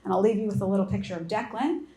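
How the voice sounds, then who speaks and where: A woman's voice: medium pitch, very fast, dark tone. Upbeat woman in an echoey room. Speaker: cruise line representative. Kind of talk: event presentation.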